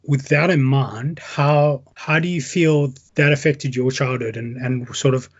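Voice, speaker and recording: Podcast host guy, a bit husky, kinda muffled sound, easygoing chat. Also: medium pitch, steady tone, a little slow. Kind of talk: casual interview. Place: England.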